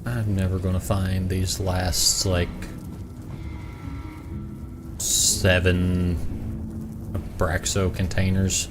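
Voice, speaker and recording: Deep-voiced Southern man rambling while gaming. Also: completely flat delivery, background noise. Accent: Southern American. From Southern United States. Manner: rambling, self-mocking, quick asides. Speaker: streamer. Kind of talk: casual gameplay commentary. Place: home room.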